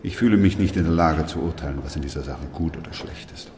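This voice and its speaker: Very deep-voiced, sober doctor. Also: male voice, fast talker, noisy background. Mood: quiet, resigned. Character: weary, sober male doctor who narrates a plague chronicle. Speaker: actor playing the narrator and doctor. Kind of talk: German radio play acting and narration.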